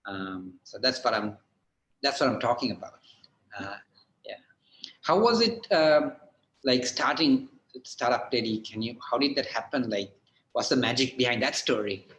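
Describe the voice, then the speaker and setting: A man speaking as a guest mentor in a relaxed online live chat. He hesitates often, restarting his sentences with "uh", and his voice drops off softly as he finishes a thought. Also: mid-range voice, normal speed, low-quality recording. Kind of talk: casual livestream interview.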